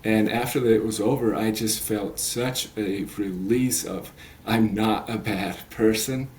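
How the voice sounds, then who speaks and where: A man's voice: lowish voice, measured pace, darkish tone, a little hiss or hum. A man in his late thirties, a coach talking as a podcast guest, earnest and rambling with many fillers and restarts. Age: 30s. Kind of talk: podcast interview.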